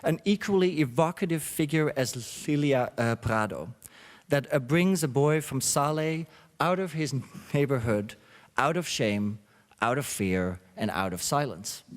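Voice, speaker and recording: A man hosting a symposium, reading a reflective introduction with many pauses and fillers. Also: mid-range voice, lively intonation. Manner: halting, with frequent uh fillers. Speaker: symposium host. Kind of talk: read introductory speech. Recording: long hall reverb.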